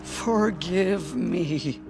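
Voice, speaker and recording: A pious old priestess in a game drama. Her speech is slow and low, solemn and pleading with penitence. Music sits clearly behind the voice. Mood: humbled pleading. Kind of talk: game cinematic acting.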